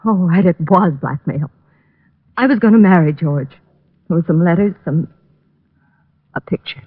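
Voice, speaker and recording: A woman's voice: fairly low pitch, normal speed, husky. An actress playing an indignant, distressed young woman in 1940s radio drama style. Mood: reluctant, distressed. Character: indignant, distressed young female murder suspect. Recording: thin, phone-like old radio recording.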